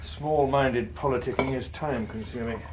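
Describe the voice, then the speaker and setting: A man's voice: medium pitch, steady tone, normal speed, noisy background, lightly acted. An actor playing a harried, wry middle-aged English politician and father, speaking drily and articulately in a radio drama. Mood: wry, weary. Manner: dry, exasperated, articulate. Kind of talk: British radio drama acting.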